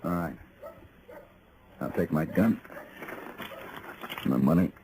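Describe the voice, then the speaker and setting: A man's voice: low-pitched, slow pace, gravelly, heavily acted. A man playing a cool, dangerous gunfighter in a 1950s radio Western, speaking in a terse, commanding, precise way. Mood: threatening. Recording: noisy vintage radio drama recording.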